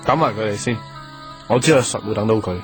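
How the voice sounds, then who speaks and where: An actor playing a lovelorn, hopeful young student in a radio drama, earnest and wistful. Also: male voice, on the low side, quick pace, lightly acted. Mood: stubborn, hopeful. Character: lovelorn, hopeful young male student waiting for his girlfriend. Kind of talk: Cantonese radio drama acting and first-person narration. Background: music.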